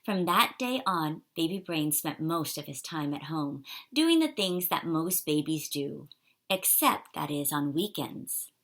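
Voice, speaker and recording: A woman reading a children's picture book aloud in an expressive, animated way for young listeners. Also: low-pitched, a little slow. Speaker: storybook reader.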